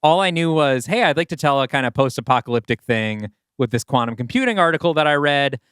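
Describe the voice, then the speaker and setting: A man's voice: medium pitch, lively intonation, fast talker, fairly bright tone, clean tone, no room sound. Casual self-doubting man, dry close sound. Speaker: podcast co-host and writer of the story discussed. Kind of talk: casual unscripted chat.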